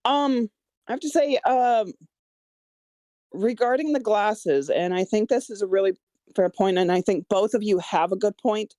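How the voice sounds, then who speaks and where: A woman's voice: medium pitch, a little slow, mellow, no room sound. A woman talking casually and hesitantly about her own script, often stammering as she explains her choices. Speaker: screenwriter discussing own script. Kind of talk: casual feedback discussion.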